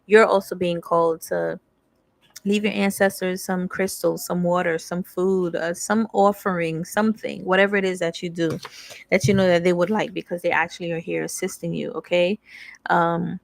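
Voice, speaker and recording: Female tarot reader, young, flat low delivery, dry close sound. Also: normal speed, vocal fry, no echo. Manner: candid, slangy, reads card text aloud then riffs. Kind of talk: casual channeled tarot reading.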